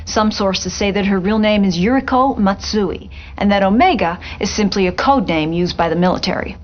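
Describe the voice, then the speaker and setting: A woman playing a polished television reporter in a video game, delivering a grave special report in a measured, articulate way. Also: medium pitch, lo-fi sound, close to an everyday voice. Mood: intrigued, dramatic.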